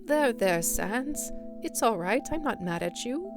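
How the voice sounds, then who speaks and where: A woman's voice: a little high, expressive, a little slow, over music, voice pushed up. A woman voicing a bold, theatrical younger skeleton brother who talks in a grandiose, formal, coaxing way, in an audio drama. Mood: reassuring, proud.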